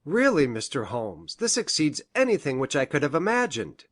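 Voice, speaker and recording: An earnest, learned doctor, acted by a woman narrator speaks formally and with emphasis. The voice is pushed well down in pitch and moves animatedly, as a character in an audiobook. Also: big pitch swings, moderate pace. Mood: amazed. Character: earnest, astonished scholarly male country doctor.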